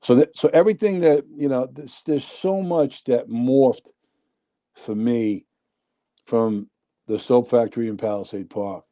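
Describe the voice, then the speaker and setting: A man in his sixties with a thick New York accent spins long, winding stories as a guest on a casual chat show. His voice is notably dark and mellow, never bright, and he sits at an ordinary middle pitch. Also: a little slow. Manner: rambling, animated storyteller. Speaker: interview guest. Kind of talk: casual storytelling.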